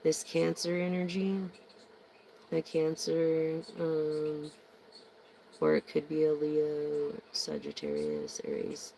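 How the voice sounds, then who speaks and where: Hoarse tarot reader with a gravelly, slow delivery; slangy and meandering. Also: mid-range voice, lively intonation, dark tone, a little hiss or hum, rough recording. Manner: slangy, meandering, many ums. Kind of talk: casual rambling tarot reading.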